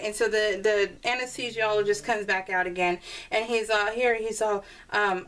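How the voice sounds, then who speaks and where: A woman with a fairly high voice rambles through casual personal stories as a craft video host. She is recorded a little way from the microphone and often slips into quoting conversations as she talks. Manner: rambling, animated retelling with quoted dialogue; laughs often while talking. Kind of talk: casual chatty storytelling over a scrapbooking process video. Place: indoors at a craft table. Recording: voice distant from the mic.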